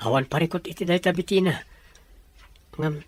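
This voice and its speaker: A man's voice: a little high, moderate pace, close to an everyday voice. A man playing a shy, humble young farmer in love, speaking earnestly and respectfully with a Filipino Ilocano accent. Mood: bashful. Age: young adult. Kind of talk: radio drama dialogue.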